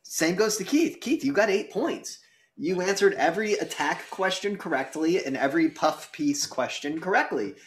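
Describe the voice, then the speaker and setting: A man's voice: high-pitched, expressive, moderate pace. A man co-hosting and judging a casual debate, chatty and blunt with some swearing. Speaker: debate judge and co-host.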